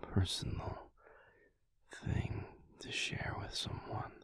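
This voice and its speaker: A young man playing a big, bashful, affectionate werewolf boyfriend in an intimate audio roleplay, speaking softly and haltingly in a husky near-whisper. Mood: tender, earnest. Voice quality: breathy, husky, gravelly. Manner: halting, soft, hesitant with small chuckles.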